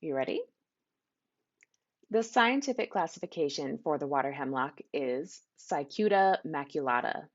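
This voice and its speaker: A woman author asking a trivia question in a measured, clear way. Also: low voice, animated. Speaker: young adult author. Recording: dull lo-fi recording.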